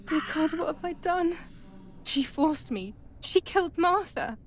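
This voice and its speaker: A woman playing a troubled, brooding young woman in a wartime psychological game, narrating in a measured, dramatic, introspective way. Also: extremely high pitch, expressive, full character voice. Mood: distraught, guilty. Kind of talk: scripted first-person game narration.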